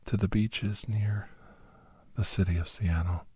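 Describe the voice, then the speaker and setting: A man playing a weary, confiding doctor who hunts shapeshifters, telling his story in a hushed, halting, breathy ASMR style. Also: on the low side, fairly even intonation. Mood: hushed, reminiscing. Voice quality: breathy, often whispered.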